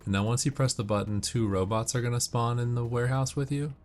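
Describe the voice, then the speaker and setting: A man doing casual video game walkthrough commentary, explaining tips in a measured way. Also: medium pitch, a little mellow, faint music behind. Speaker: gamer giving walkthrough commentary.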